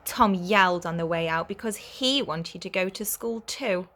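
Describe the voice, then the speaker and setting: A woman reading a children's story aloud as a teacher would, in a clear, expressive way for young listeners. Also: fairly low pitch, moderate pace, a little hiss or hum.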